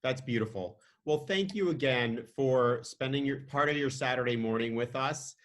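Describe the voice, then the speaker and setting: Male host, mid-pitched, friendly and appreciative, talking over a video call. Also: moderate pace. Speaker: interviewer, host. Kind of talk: interview.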